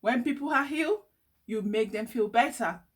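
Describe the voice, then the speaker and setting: A woman's voice: fairly high pitch, normal speed, slightly rough. A woman voicing an encouraging, upbeat older boy cousin in a storybook, reassuring and enthusiastic.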